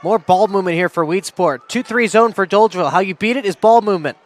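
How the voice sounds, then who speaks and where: High-voiced American male colour commentator. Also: fast. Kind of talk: basketball game analysis.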